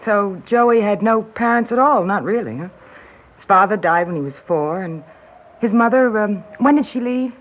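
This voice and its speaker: Cynical female reporter played by a woman, pointed and persistent, animated pitch, classic radio acting, dull vintage sound. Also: normal speed, lightly acted. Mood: probing. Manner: pointed, wry, pressing.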